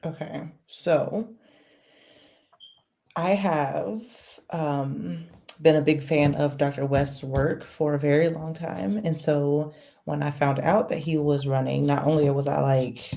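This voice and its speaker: A woman guest on a podcast interview, talking in a measured, thoughtful way with many fillers. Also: low voice, fairly even intonation, creaky, mellow. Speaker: guest, political volunteer. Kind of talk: casual interview.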